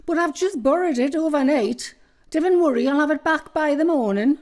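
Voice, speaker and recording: A woman voicing a homely local woman with a Northumbrian accent, chatting warmly over old photographs. Her voice sits a little high, and her talk is easy and familiar. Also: normal speed, close to an everyday voice. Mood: chatty. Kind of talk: radio play dialogue.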